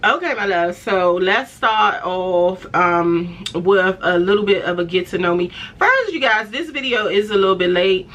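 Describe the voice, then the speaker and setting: A woman in her forties from the Washington area, talking to camera in a relaxed, chatty way with lots of fillers and laughs, her voice slightly raspy and stuffy after an illness. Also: medium pitch, moderate pace, hardly any pauses. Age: 40s. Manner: chatty, affectionate, many fillers, laughs often. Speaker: fashion vlogger. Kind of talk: casual talk to camera.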